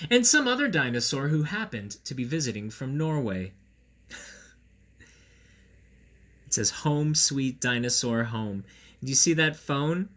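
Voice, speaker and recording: A man's voice: fairly high pitch, sharp tone. A man reading aloud and chatting to children in a playful, silly, theatrical way. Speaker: children's story reader and host. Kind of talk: read-aloud and chat for children. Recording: roomy echo, clear background noise.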